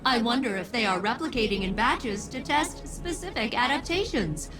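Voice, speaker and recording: A woman's voice: on the high side, animated, moderate pace, natural voice. An actress voicing a casual, curious female crew member who talks in an easygoing, musing way. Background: game music score.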